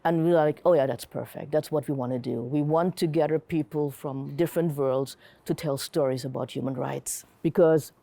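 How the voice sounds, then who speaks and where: A woman's voice: low-pitched, fairly even intonation, moderate pace, gravelly, few pauses, mellow, no room sound. A middle-aged woman from Germany, telling personal stories in a casual, reflective interview style. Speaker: interviewee, comic artist and curator.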